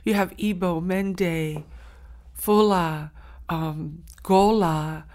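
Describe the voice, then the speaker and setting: A woman's voice: fairly low pitch, fairly even intonation, darkish tone. A woman from Saint Helena Island whose first language is Gullah, telling her story earnestly as an interview guest. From Saint Helena Island, South Carolina. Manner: earnest, heartfelt, with frequent pauses and restarts.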